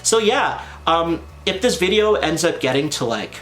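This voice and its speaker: A man's voice: a little high, big pitch swings, normal speed, fairly bright tone, slight background noise, rough recording. Chatty Canadian guy rambling about anime. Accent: Canadian English. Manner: rambling, heavy use of "like" and "you know". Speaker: anime vlogger. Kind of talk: casual vlog chat. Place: in Japan.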